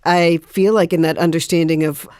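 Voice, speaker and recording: Female guest, slightly low voice, casual halting phrasing, dry studio-like sound. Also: steady tone, a little mellow, clear, resonant tone, dry recording. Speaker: panel guest. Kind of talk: casual group conversation.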